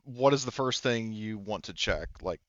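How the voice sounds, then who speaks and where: A man narrating a tabletop roleplaying game as game master, in a halting, informal way. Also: fairly low pitch, animated, no echo, highs cut off.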